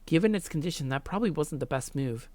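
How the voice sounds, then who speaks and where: A woman's voice: sits low, lively intonation, fast talker, dark tone, no room sound. A woman hosting a let's play, reading visual novel narration and adding casual gaming commentary, close on the mic.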